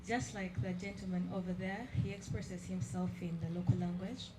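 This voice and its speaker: A woman's voice: lowish voice, steady tone, moderate pace, background noise. Polite woman asking a question. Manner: polite, effusive. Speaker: audience member asking a question. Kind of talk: question from the floor at an exhibition.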